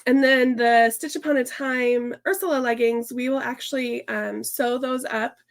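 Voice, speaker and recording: A woman hosting a casual sewing tutorial, her voice hoarse and stuffy from a sinus infection, chatting upbeat with lots of fillers and restarts. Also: fairly high pitch, steady tone, normal speed. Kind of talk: casual sew-along tutorial chat.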